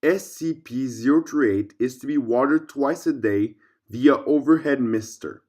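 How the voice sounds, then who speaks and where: A man reading a scripted fictional case file aloud as narrator, in a formal, measured way. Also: mid-range voice, a little mellow.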